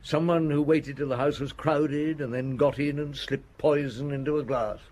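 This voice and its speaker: An actor playing a calm, unhurried chief police inspector, measured and questioning, in a radio drama. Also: male voice, on the high side, lightly acted. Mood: calm, guarded.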